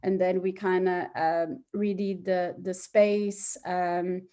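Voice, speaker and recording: A woman's voice: on the low side, flat delivery, slow, dark tone. A woman from Portugal, answering conversationally as an interview guest. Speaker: interview guest, start-up hub operations chief.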